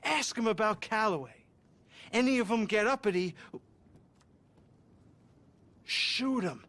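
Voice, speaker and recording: Eager nervous writer, high and very lively, faint hiss behind. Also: male voice. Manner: excitable, earnest, talks fast in bursts. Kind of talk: video game cutscene acting.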